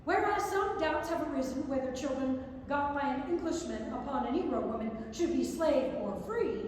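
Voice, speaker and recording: A woman's voice: high voice, a little mellow, a little hiss or hum. A woman giving a staged reading of non-fiction prose, recorded from far off in an echoey theatre. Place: large indoor theatre.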